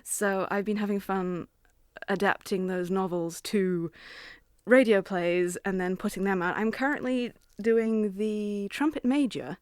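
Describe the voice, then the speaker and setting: A softly spoken woman with a mixed accent carrying a New Zealand tinge, chatting as a filmmaker guest in a radio studio. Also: mid-range voice, fairly even intonation, measured pace, no room sound. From Wales. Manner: softly spoken, laughs often. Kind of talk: casual radio interview. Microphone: studio mic.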